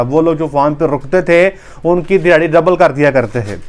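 A man's voice: fairly high pitch, clear, resonant tone. A man, a veterinary doctor talking casually to camera in a small room on a lapel mic, earnest and gesturing as he explains. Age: 30s. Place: small indoor room with wood-panelled wall.

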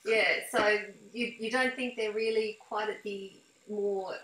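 A middle-aged woman puts questions and remarks to a guest in casual interview style. She is on a video call, and her voice comes with clear room echo. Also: medium pitch, fairly even intonation, measured pace, a bit bright. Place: small room with blinds, indoors. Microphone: video call, computer microphone.

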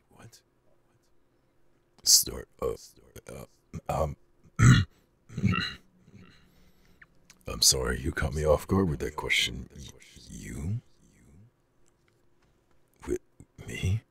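Gravelly, extremely deep, hesitant dragon. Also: male voice, sing-song, slow, pauses often, fairly bright tone, no echo, exaggerated voice. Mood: flustered, stammering. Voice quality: very deep, gravelly growl with breathy rasp. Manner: hesitant, stammering. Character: shy, proud, huge male dragon, flustered and earnest. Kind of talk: ASMR audio roleplay.

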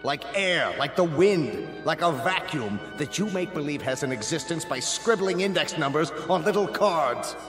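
A scornful chancellor of a totalitarian state, played by a male actor, rings out in a high male voice through a big echoing hall. He is pompous and oratorical, in radio drama style, with music underneath. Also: expressive, moderate pace, hardly any pauses, close to an everyday voice. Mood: contemptuous. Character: cold, scornful state chancellor presiding over a tribunal.

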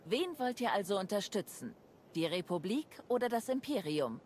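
An actress playing a composed, serious woman adviser in a video game, measured and grave in manner. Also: mid-range voice, big pitch swings, a little mellow, slight background noise, lightly acted. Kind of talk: video game cutscene acting.

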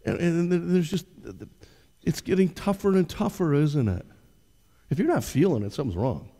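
Older pastor preaching in a reverberant church. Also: male voice, a little high, lively intonation, moderate pace, mellow. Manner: conversational preaching, direct questions to the congregation. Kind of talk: sermon. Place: church stage. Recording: long reverb of a church hall.

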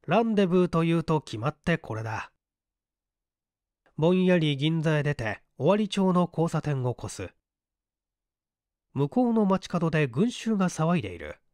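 Male narrator for literary mystery audiobook: slightly high, very varied pitch, close dry recording. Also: a little mellow, dry recording. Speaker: audiobook reader, stage actor.

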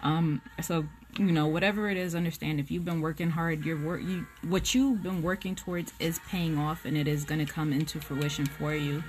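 A young woman with a low, deep speaking voice gives a blunt, unfiltered tarot reading, talking straight to her phone camera in a small room at home. She swears freely and drifts through "um" and "like" as she goes. Music plays clearly underneath her. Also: fairly even intonation, normal speed, few pauses. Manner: frank, blunt and swearing, with frequent "um" and "like". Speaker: tarot reader. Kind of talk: casual spoken tarot reading. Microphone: phone camera at close range.

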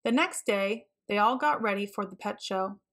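A woman narrating a children's storybook in an expressive, deliberate way. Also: mid-range voice. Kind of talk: read-aloud storybook narration.

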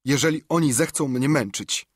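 Honourable teen boy, voiced by a man: pleading, husky, dry close audiobook read. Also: a little high, very animated, unhurried, a bit bright, no room sound, voice pushed up, exaggerated voice. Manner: pleading, stubborn. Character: frightened but honourable teenage boy. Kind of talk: audiobook character reading.

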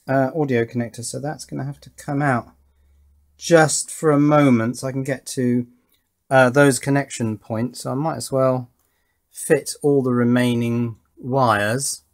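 A man giving casual hands-on demonstration commentary, thinking aloud in a tentative, musing way. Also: medium pitch. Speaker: host of an electronics build channel. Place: indoor workbench.

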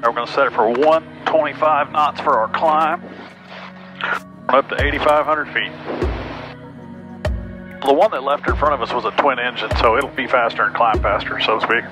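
A man's voice: medium pitch, fairly even intonation, moderate pace, pauses often, music in the background. A man speaking as a pilot over an aviation headset in a small plane, mixing casual chat with checklist callouts. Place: small plane cockpit in flight. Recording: headset intercom inside small aircraft.

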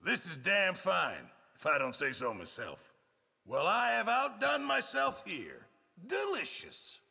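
Flustered grumbly middle aged camp cook from a cowboy game, slow and raspy, kinda self-important. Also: male voice, high-pitched, huge pitch swings, sharp tone, in a large hall, full character voice. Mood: proud. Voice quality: gravelly, husky. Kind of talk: video game character barks.

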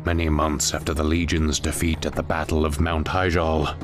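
An actor playing a commanding exiled demon lord in a fantasy game, brooding and portentous. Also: male voice, very deep voice, flat delivery, moderate pace, over music. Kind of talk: dramatic fantasy game cutscene acting.

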